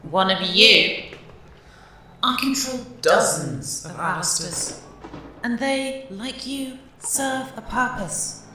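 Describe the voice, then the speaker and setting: A man's voice: high-pitched, lively intonation, takes it slowly, pushed and bright, full character voice. An actor playing a menacing, theatrical male witch who taunts and controls in an audio horror drama. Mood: smug menace. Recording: echoey, distant, faint noise.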